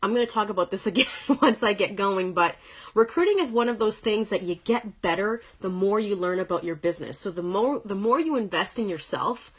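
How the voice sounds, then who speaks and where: A woman's voice: mid-range voice, animated, a little fast, slightly rough. Chatty woman in her thirties. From Canada. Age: 30s. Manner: chatty, upbeat, greets commenters by name, frequent fillers. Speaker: direct sales presenter. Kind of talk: casual livestream talk to viewers. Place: corner of her living room at home.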